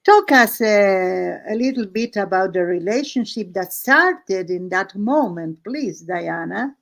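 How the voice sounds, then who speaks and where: A woman who is not a native English speaker tells an improvised story casually, halting phrase by phrase with searching pauses. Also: mid-range voice, lively intonation. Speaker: host of an art and story stream.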